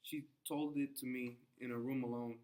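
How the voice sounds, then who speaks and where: A man's voice: mid-range voice, flat delivery, moderate pace, darkish tone, slight background noise, lightly acted. A man reading the part of a proud, defensive Puritan husband in a play, stern and exasperated. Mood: reluctant. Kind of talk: classroom play read-aloud.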